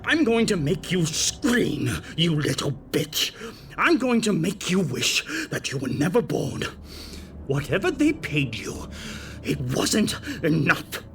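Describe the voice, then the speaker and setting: A man's voice: on the high side, big pitch swings, slow pace, vocal fry, heavily acted. A man playing an unhinged, furious and self-pitying corrupt older man, snarling with theatrical menace in an audio drama. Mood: menacing fury. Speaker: voice actor in a fan audio drama. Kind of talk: dramatic villain acting.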